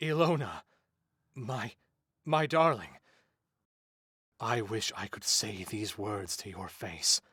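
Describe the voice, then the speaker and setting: A man voicing a tormented, lovesick cursed man in a fantasy visual novel, brooding and theatrical, with halting intensity and a breathy, husky voice. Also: fairly low pitch, sharp tone, heavily acted. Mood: yearning, rising hysteria. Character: tormented cursed man, lovesick, fearing his own bloodlust. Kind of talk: dramatic visual novel monologue.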